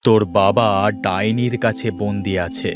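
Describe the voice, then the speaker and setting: A man voicing a kindly old tantric hermit in a cartoon story, solemn, knowing and measured. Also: lowish voice, fairly even intonation, music in the background, lightly acted. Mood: grave warning. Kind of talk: Bengali cartoon story dub, dramatic.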